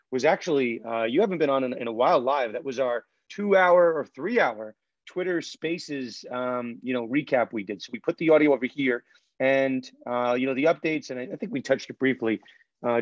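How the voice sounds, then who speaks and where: A man's voice: mid-range voice, dry recording. Friendly thirties man, dry dull webcam audio. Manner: friendly, steers the talk, filler-heavy. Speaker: podcast co-host, lawyer. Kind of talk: casual legal podcast chat. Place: law office. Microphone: laptop webcam video call. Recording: dry, slightly dull video-call audio.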